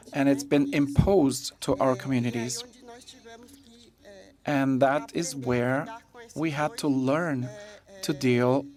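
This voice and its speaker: Slow, measured conference interpreter. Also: mid-range voice, darkish tone. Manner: measured, with pauses and fillers. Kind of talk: live interpretation of a talk.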